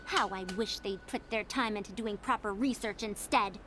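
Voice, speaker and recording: A woman's voice: medium pitch, moderate pace, bright, forceful tone, slight background noise, natural voice. A woman playing a proud, evasive elder scholar with a youthful sound in a video game, lecturing in formal, pompous phrases. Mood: haughty indignation. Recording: game music behind the voice.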